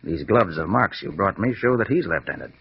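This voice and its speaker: An actor playing a confident, shrewd master detective in an old radio drama, speaking with brisk authority. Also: male voice, deep voice, expressive, vocal fry, breathy edge, dry recording, exaggerated voice. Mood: brisk, deductive. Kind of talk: 1940s-50s radio drama acting. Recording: muffled, noisy vintage radio recording.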